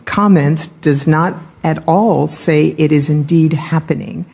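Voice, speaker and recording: A woman gives testimony as a government witness. Her voice is husky and dark, with plenty of fry, and she chooses her words carefully and hesitantly at an unhurried pace. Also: deep voice. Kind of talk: hearing testimony. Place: legislative hearing room.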